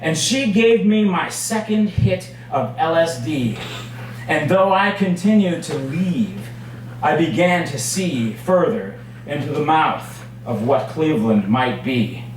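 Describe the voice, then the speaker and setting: A man performing his own poetry at a live reading, with measured, dramatic phrasing and deliberate pauses. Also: on the high side, animated. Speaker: poet reading own work. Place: indoors at a cafe poetry reading. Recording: very echoey room, distant mic, faint noise.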